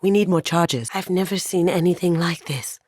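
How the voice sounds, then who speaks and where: A woman's voice: on the low side, moderate pace, darkish tone, slightly rough, dry recording, clean studio sound. A woman voicing a playful, wry young master thief in a sci-fi video game, with casual, cheeky delivery. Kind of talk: video game squad voice lines.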